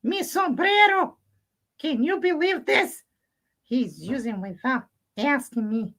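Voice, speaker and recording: A woman voicing an excitable, huffy little boy puppet for a children's show, childlike and dramatic, with Spanish exclamations mixed in. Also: high voice, takes it slowly, voice pushed up, heavily acted. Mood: indignant.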